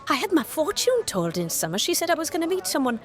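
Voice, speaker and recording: A woman's voice: high-pitched, very animated, quick pace, soft background music. An actress playing a chatty, streetwise Victorian East End woman who is eager to please, speaking colloquially in an audio drama. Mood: hopeful, flirtatious. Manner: colloquial, coaxing working-class speech.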